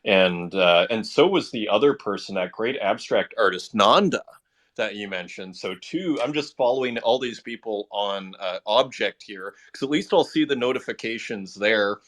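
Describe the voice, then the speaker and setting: A man's voice: lowish voice, animated, moderate pace, a bit bright. A man hosting a casual art discussion on a live audio call, asking guests questions in a conversational way. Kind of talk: casual interview chat.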